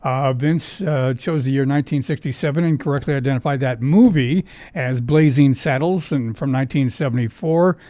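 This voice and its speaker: A man's voice: mid-range voice, moderate pace, hardly any pauses, dark tone, no echo, clean studio sound. A man hosting a casual oldies trivia show on the radio, talking in a chatty, folksy, conversational way. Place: radio studio.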